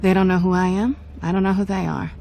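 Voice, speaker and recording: An actress playing a sly, defiant young cat burglar in a dramatic video game, cool, teasing and deliberate. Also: female voice, lowish voice, flat delivery, gravelly, dark tone, soft background music. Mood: cool, threatening.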